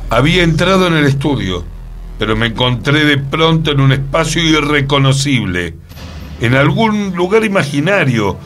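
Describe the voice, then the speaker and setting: A man's voice: on the high side, expressive, normal speed, fairly bright tone, faint music behind. An Argentine man acting a rueful storyteller back from a fairy-tale forest, in radio theatre. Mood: dramatic recounting. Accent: Argentine, Rioplatense.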